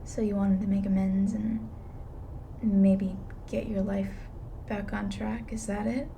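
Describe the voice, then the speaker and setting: A woman playing a blunt, weary, reluctantly soft-hearted reaper in an intimate ASMR roleplay, dry and matter-of-fact. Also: mid-range voice, level tone, unhurried, gravelly, slight background noise. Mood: probing, matter-of-fact.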